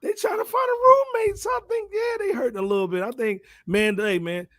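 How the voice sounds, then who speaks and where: A man's voice: extremely high pitch, steady tone, a little fast, fairly bright tone, no room sound. American guy bantering on a podcast, slangy and opinionated, says man a lot, really dry sound. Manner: slangy, peppers talk with "man". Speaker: podcast co-host.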